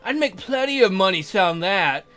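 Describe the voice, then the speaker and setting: A man's voice: sits high, expressive, normal speed, pushed and bright. A man voicing an exasperated, crude-mouthed young maiden from a fairy tale game in an exaggerated, complaining way.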